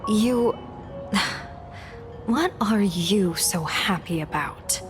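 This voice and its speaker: An actress playing a cool, philosophical older-sister type in a video game, measured, grave and softly breathy. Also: female voice, medium pitch, expressive, music in the background. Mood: uneasy.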